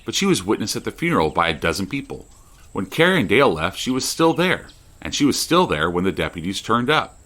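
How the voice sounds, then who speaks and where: A serious male true-crime podcaster, played by an actor, probing and persistent. His voice is low, and his intonation moves a lot as he narrates and questions. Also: very animated, brisk, fairly bright tone. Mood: skeptical. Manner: inquisitive, serious.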